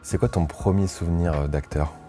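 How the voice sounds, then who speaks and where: A man hosting an interview, asking questions in a relaxed, informal way with short encouraging replies. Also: low-pitched, normal speed, music in the background.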